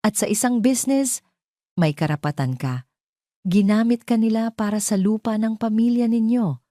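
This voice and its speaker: A woman with a Filipino accent voicing a calm, professional woman mentor in an audio story, measured and instructive. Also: fairly high pitch, no echo, clean studio sound, voice pushed up, close to an everyday voice. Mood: firm, instructing.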